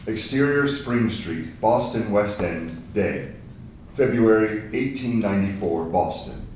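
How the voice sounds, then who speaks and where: A man's voice: fairly low pitch, darkish tone. A man reading screenplay stage directions as scripted narration at a table read. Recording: very echoey room, distant mic, faint noise.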